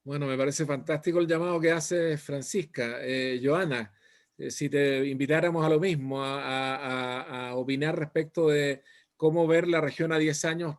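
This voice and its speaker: A Chilean man moderating a panel discussion, hesitant and full of fillers. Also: fairly high pitch. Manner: hesitant, many fillers and "digamos".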